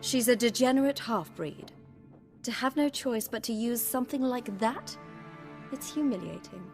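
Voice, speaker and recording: A woman's voice: fairly high pitch, moderate pace, gravelly, faint music behind. An actress voicing a bitter, contemptuous female elf in a fantasy video game, disdainful and cutting. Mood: scornful.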